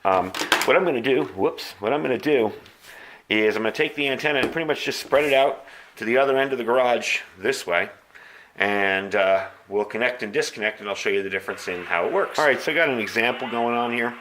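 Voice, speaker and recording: An American man with a mid-pitched voice reviews gear in a casual, hobbyist way. He talks informally through each step as he shows it, like an enthusiast explaining his equipment. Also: fairly quick, pushed and bright, a little hiss or hum, poor audio. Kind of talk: casual product review and demonstration.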